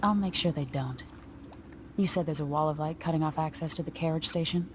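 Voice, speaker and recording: A woman playing a young deposed empress turned assassin in a video game, resolute and composed, with a measured delivery. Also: low voice, slight background noise, lightly acted. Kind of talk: video game cutscene acting.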